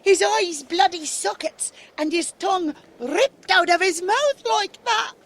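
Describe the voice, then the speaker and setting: Shaken woman crime witness woman acting for radio drama; very high-pitched, slow-speaking. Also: a bit bright, heavily acted. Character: shaken female witness to a gruesome murder.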